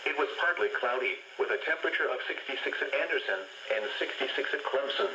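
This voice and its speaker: A man's voice: medium pitch, animated, fast talker, sharp tone. A man reading a scripted weather report as a radio announcer, heard through a small radio speaker. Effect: radio broadcast through a small speaker. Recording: tinny radio speaker in echoey room, faint noise.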